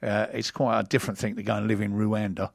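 A man's voice: fairly low pitch, little pitch movement, fast, vocal fry, dry recording, studio quality. A middle-aged man hosting a radio show, chatting casually and rambling with fillers and restarts. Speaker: radio host. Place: radio studio.

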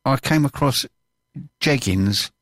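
A man's voice: mid-range voice, lively intonation, slow, fairly bright tone, dry recording. A man hosting a radio chat show in a studio, teasing and dry, stumbling over restarts. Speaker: radio host.

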